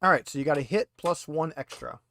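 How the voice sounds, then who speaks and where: A man's voice: fairly high pitch, a little fast, gravelly, fairly bright tone. A man casually running an online tabletop roleplaying game as game master, with a teasing, joking manner.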